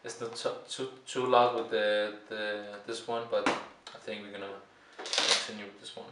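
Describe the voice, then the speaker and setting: A Danish man with a Danish accent gives casual, halting tutorial commentary as he works with his hands at an indoor bench. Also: fairly low pitch, little pitch movement. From Denmark, Copenhagen. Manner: halting, mumbled asides while working with hands. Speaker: repair tutorial presenter, parts seller. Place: indoor workbench. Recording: distant roomy mic, loud clicking noise.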